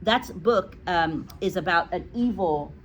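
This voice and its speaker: A woman's voice: on the low side, unhurried, a little hiss or hum, poor audio. A woman teacher reading a storybook aloud to a class, with explanatory asides.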